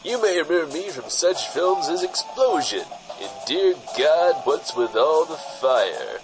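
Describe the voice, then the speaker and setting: A man's voice: a little high, big pitch swings, unhurried, music in the background, rough recording. A man playing a slick, folksy washed-up film star giving a hammy, paternal parody public service announcement. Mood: smarmy, warning.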